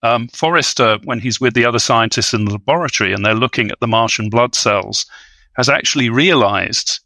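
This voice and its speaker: A man with a bright, forward voice talks as an analytical podcast panelist. He is articulate and scholarly, choosing bookish words, recorded close and dry. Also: medium pitch, fairly quick, dry recording. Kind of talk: analytical film discussion.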